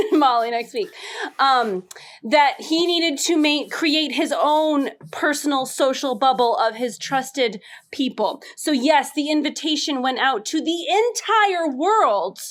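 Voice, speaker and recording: A woman's voice: high-pitched, expressive, slow. A woman improvising a comedic answer on a game podcast, giggly and playful, fumbling words. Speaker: podcast contestant.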